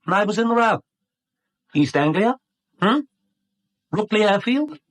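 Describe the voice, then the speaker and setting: Sly, husky English blackmailer. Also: male voice, sits high, big pitch swings, measured pace, full character voice. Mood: slyly probing. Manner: mock-polite, teasing, insinuating. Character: sly, insinuating small-time property speculator and blackmailer. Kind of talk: 1970s British radio drama acting.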